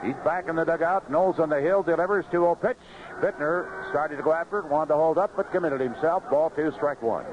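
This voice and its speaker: Guy calling a ballgame on old 70s radio, kinda high voice, folksy, muffled w lots of noise. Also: brisk, hardly any pauses. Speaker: baseball play-by-play announcer. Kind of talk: live radio baseball commentary. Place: outdoor ballpark broadcast booth. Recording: dull, noisy vintage 1970s radio broadcast.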